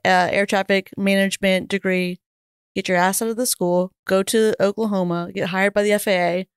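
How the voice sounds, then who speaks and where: An American woman with a smooth, clear voice talks casually as a podcast guest. She tells stories in a chatty, informal way full of "like". The sound is dry and close. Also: medium pitch, flat delivery, fairly quick, no room sound. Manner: informal, chatty, peppered with "like" and mild swearing. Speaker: podcast guest, air traffic controller. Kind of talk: casual anecdotal storytelling. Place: small podcast studio, indoors. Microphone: close boom-arm podcast microphone.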